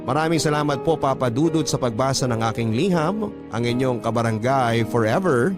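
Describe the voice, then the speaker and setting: A man's voice: mid-range voice, normal speed. A man hosting a radio drama, reading a listener's letter aloud as scripted dramatic narration. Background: background music.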